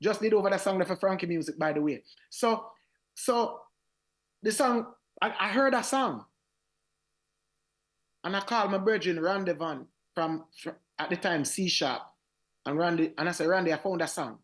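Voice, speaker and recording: A man with a Jamaican accent tells his story in a radio interview, his voice raspy. He speaks with animated energy, often doubling back to repeat his words as he goes. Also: sits high, moderate pace. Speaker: reggae singer, guest.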